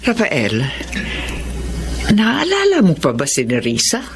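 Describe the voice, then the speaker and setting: A woman's voice: mid-range voice, huge pitch swings, takes it slowly, slight background noise, heavily acted. An actress playing an anxious, loving adoptive mother and wife in a Tagalog radio drama. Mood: worried, tearful.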